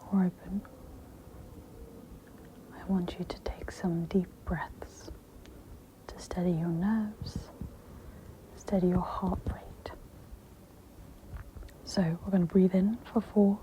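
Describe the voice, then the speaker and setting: Slow, whispering woman guiding an ASMR meditation. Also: lowish voice, steady tone. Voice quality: whispery, breathy. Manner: slow, gentle, instructional. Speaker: host of guided meditation ASMR. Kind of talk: soft-spoken ASMR guided meditation. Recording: dull lo-fi with audible background noise.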